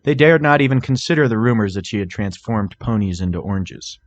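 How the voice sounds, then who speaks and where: A man's voice: fairly low pitch, expressive, brisk. Man reading a story dramatically. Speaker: fan fiction narrator. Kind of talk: dramatic scripted story narration.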